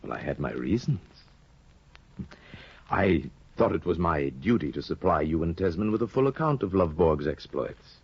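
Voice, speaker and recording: An actor playing a sly, scheming older judge in a 1950 radio drama, insinuating and hesitant, on a dull old broadcast recording. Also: male voice, deep voice, very animated, slightly rough, heavily acted. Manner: insinuating, hesitant with drawn-out ums. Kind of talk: 1950s radio drama acting.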